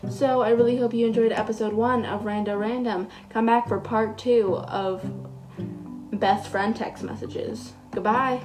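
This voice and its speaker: A woman's voice: fairly high pitch, steady tone, measured pace, loud music behind the voice, low-quality recording. A teenage girl hosting a casual solo podcast, reading texts aloud in a chatty way while losing her voice. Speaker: podcast host.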